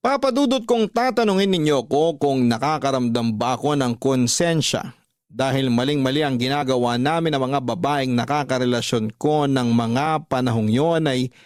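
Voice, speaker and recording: An actor playing an unrepentant young womanizer, narrating his love story in a measured, confiding, dramatic way for a radio drama. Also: medium pitch, no echo, clean studio sound, close to an everyday voice.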